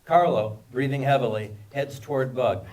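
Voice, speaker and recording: A man's voice: medium pitch, moderate pace, a little mellow. A man narrates screenplay action at a live reading, picked up from a little distance. His voice is mid-range, and he delivers the scene headings and directions as scripted narration. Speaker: stage-direction reader. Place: live table read at a film festival.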